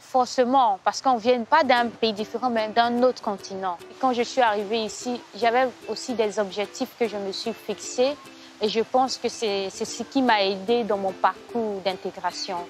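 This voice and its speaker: A young woman from Ivory Coast, a social worker, answering interview questions in a measured way with frequent pauses. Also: on the high side, steady tone. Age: young adult. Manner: measured, hesitant with fillers. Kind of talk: casual interview. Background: soft music.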